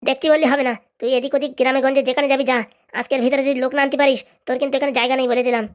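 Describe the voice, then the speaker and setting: A woman's voice: high voice, level tone, racing, natural voice. A woman playing a bossy, grumbling crook who runs a begging racket in a comic cartoon, scolding and sarcastic.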